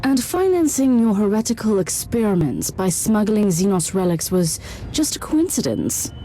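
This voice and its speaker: A dark, mellow-voiced female inquisitor from a video game, acted by a woman, speaks with solemn authority. The warrior sister is stern, pious and commanding, with faint music underneath. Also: mid-range voice, big pitch swings, normal speed, natural voice, low-quality recording. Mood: cold judgment.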